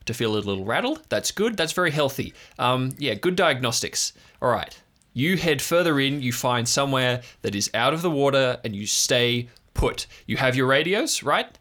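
A man voicing a flustered, earnest park ranger with a halting, nervous, reassuring way of talking. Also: mid-range voice, sharp tone, voice pushed up.

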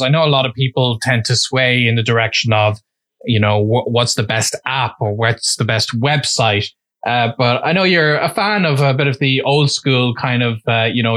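A man's voice: mid-range voice, moderate pace, a bit bright. A man hosting an interview, asking a question hesitantly with "uh" and "you know" between phrases. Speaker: interviewer, host.

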